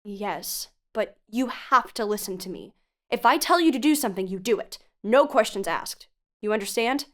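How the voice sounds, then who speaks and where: A woman's voice: on the high side, animated, moderate pace, fairly bright tone. An actress playing a protective, insistent female investigative podcaster in an audio drama, questioning firmly and earnestly. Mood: reluctant, stern. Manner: probing, firm, earnest. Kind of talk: audio drama phone dialogue.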